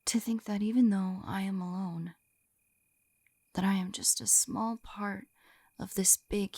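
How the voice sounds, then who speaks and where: A young woman playing a gentle, shy lamia on a first date in an intimate ASMR roleplay, soft-spoken and often whispering, hesitant and tender, close to the microphone. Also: no echo, full character voice. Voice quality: soft, breathy whispering mixed with low murmurs. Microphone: close-up microphone, dry sound.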